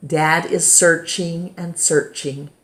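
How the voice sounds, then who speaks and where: Low-pitched woman doing storytime, slow careful reading, roomy echo. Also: fairly even intonation, a bit bright. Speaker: storyteller reading a children's book. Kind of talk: read-aloud picture book narration. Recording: roomy, echoing indoor sound.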